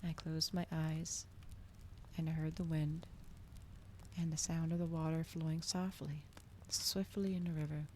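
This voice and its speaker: A woman doing a soft, whispered ASMR reading as a streamer, slow and breathy, in a hushed manner. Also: low-pitched, flat delivery, a little hiss or hum. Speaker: streamer host reading aloud.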